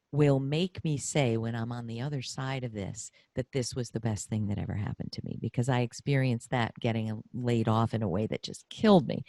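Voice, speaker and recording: A woman's voice: a bass voice, a little mellow, no echo. Middle-aged lady hosting a podcast, really emphatic and gushy, dry sound. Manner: emphatic, effusive. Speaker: podcast host. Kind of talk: conversational interview.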